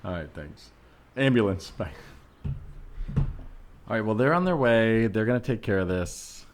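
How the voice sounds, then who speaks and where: A man's voice: fairly low pitch, lively intonation, moderate pace, slight background noise, natural voice. A man playing an uneasy, reluctant but helpful neighbor, hesitant and placating, in improvised audio comedy.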